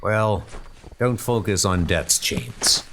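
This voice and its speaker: A man voicing a steady, fatherly British commander who gives reassuring, unhurried advice as a mentor in a comic dub. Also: deep voice, a little hiss or hum, full character voice. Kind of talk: comic dub spy drama acting.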